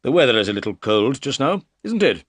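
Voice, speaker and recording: A man voicing a calm, shrewd male detective who speaks in a measured, firm way. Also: on the low side, dry recording, lightly acted.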